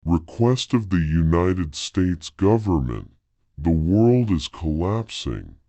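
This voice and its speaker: A man's voice: sits low, sing-song, slow pace, mellow, no room sound. A man reads a scripted emergency broadcast announcement in a solemn, formal manner.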